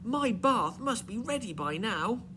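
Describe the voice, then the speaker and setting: A man's voice: sits high, big pitch swings, a little slow, pitched above the performer's own voice. A man voicing an eager, polite little boy bear in a children's storybook, chirpy and inquisitive. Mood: matter-of-fact. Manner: bright-eyed, chirpy, inquisitive. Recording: clearly noisy background.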